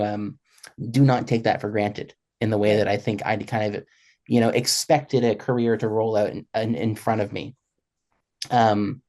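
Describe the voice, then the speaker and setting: Low-voiced young man, earnest and halting. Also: darkish tone. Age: 20s. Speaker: panelist, freelance musician. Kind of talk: reflective panel conversation.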